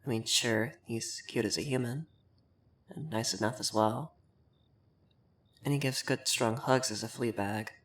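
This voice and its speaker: A man's voice: medium pitch, unhurried, pauses often, a bit bright. A man voicing a haughty, flustered, effeminate vampire lord in a scripted ASMR roleplay, prim and bossy in manner. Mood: flustered musing.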